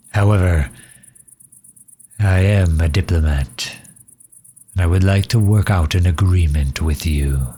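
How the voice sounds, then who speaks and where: A man playing a courteous, earnest vampire leader in an audio roleplay, speaking softly with formal, old-fashioned politeness. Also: sits low, slightly rough, exaggerated voice. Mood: cautious, polite. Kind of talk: scripted ASMR roleplay, addressing the listener.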